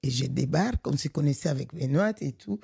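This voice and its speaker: Woman from Congo, middle-aged, very husky, gravelly, animated and emphatic. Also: sits low, a little fast, no room sound, studio quality. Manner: animated, emphatic, frequent restarts. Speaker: association director. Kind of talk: conversational podcast interview.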